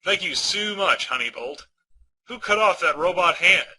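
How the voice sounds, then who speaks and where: Man voicing a sweet, high female hedgehog. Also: expressive, moderate pace, bright, forceful tone, husky, exaggerated voice, rough recording. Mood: grateful. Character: sweet young female hedgehog, gentle, grateful damsel.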